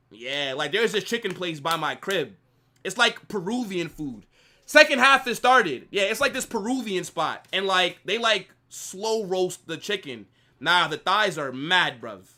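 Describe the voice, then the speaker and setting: A man hosts a live football watch-along, talking casually and answering his chat. His voice sits high and sounds bright and sharp, swinging up and down as he reacts. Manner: animated banter, reading chat aloud. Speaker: live stream host.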